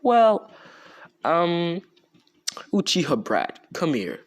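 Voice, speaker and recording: Guy doing a cocky young ninja hero, pitched up high, slow and menacing, fan story retelling. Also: sing-song, full character voice. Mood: commanding.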